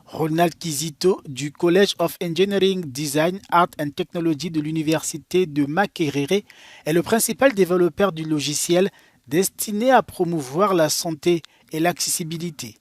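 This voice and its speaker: A man reading a news report as voice-over in a measured way. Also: on the high side, no echo. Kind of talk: voice-over narration.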